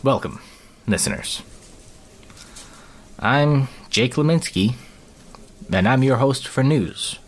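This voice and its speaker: A man playing a despondent local TV news anchor in a comedy podcast, halting and listless. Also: mid-range voice, lively intonation, noisy background. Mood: dejected, dazed. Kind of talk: fictional comedy podcast acting.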